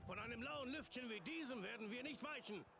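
A man playing a fierce warrior general in a fantasy game, barking forceful commands. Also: very high voice, lively intonation, brisk, vocal fry, music in the background, exaggerated voice. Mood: rousing, defiant. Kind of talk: dramatic game battle acting.

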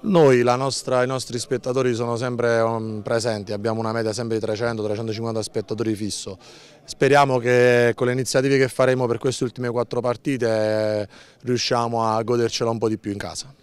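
Middle-aged club executive, clear voice. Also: male voice, mid-range voice, level tone, fairly quick. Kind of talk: post-match interview. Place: outdoors by a football pitch.

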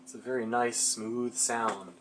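A man's voice: medium pitch, level tone, measured pace, noisy background. A man informally narrating a hands-on electronics demonstration, musing to himself as he works at his bench. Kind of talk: casual hands-on demonstration, thinking aloud. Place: cluttered indoor electronics workbench.

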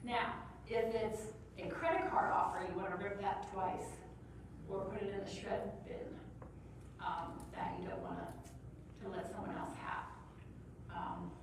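A chatty, blunt woman in her sixties gives practical advice to a room of listeners. She is picked up from a distance. The conference room is very echoey, with steady noise behind her. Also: medium pitch, slow, pauses often. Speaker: speaker on decluttering. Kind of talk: casual talk to a live audience. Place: conference room, standing before the audience. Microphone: far room mic.

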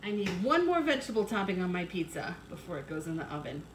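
A woman in her thirties reading a picture book aloud and explaining it to children in simple, expressive, teacherly phrases. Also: lowish voice, fast talker, a little hiss or hum, low-quality recording. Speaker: children's read-along teacher. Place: indoors at home, kitchen and a room.

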